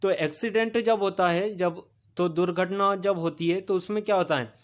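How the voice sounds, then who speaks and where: A male teacher, giving a recorded vocabulary lesson. He repeats each meaning as a question, answers it himself, and pauses on "uh". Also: high voice. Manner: steady explaining pace with filler "अ" pauses.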